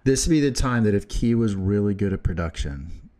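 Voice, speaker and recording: Older man, co-host, playful teasing, warm mellow tone, lively up-and-down delivery. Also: lowish voice, fairly quick. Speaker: podcast co-host. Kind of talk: casual chat.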